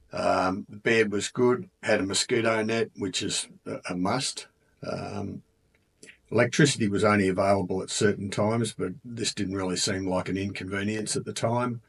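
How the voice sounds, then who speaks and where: A man with an Australian accent talking casually about a trip, relaxed and chatty with many ums and uhs. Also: fairly low pitch, slightly rough. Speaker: travel vlogger. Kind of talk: casual travel narration.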